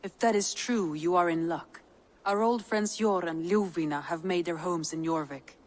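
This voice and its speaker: A woman playing a composed, shrewd advisor in a video game, measured and formal. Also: lowish voice, a little mellow, natural voice. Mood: calm, advising.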